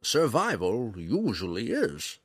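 A kindly, wise old ranger as a male audiobook narrator plays him. He speaks slowly and musingly, with big swings in pitch. The voice is husky and closely recorded. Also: huge pitch swings, a bit bright, dry recording, studio quality, heavily acted. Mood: grave, consoling. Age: older. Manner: slow, measured, musing delivery. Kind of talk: audiobook character voice.

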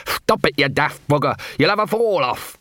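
Man voicing a gruff sarcastic street dog. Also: mid-range voice, expressive, normal speed, fairly bright tone, no echo, clean studio sound. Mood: exasperated. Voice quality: gruff, grumbling, talks through clenched teeth. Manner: sarcastic, self-pitying mutter. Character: scruffy small male street dog, sarcastic grumbler.

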